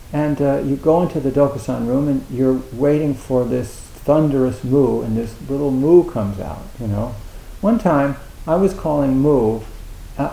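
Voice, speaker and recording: Gravelly halting Zen teacher. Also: male voice, on the high side, dark tone, roomy sound, low-quality recording. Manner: halting, many restarts, wry self-mocking humor. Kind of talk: dharma talk at a meditation retreat.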